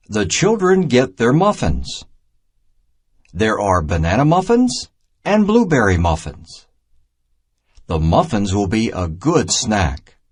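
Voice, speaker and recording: A man reading a children's math storybook aloud in a deliberate, simple teaching manner. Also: mid-range voice, husky. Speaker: narrator of a children's math reader.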